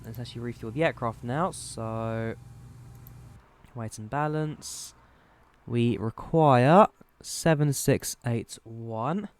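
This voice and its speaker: A young man with a slightly worn voice, casually talking viewers through setting up a flight sim cockpit and reading out codes and numbers. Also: medium pitch. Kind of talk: casual live commentary while setting up a flight.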